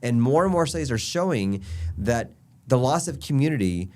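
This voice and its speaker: A man's voice: mid-range voice, expressive, normal speed, a little mellow. A man with a stuffy, nasal voice from allergies, a doctor explaining health tips in an upbeat casual chat. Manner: upbeat, explanatory, lists points. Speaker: physician guest.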